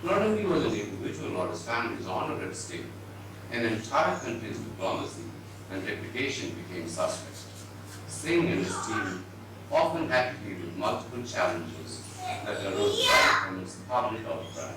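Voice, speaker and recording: Male narrator for live literary reading: lowish, animated pitch, measured dramatic phrasing, heard far off in a reverberant room. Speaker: reader at a book event. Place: indoor event venue before an audience. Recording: very echoey distant hall, noisy.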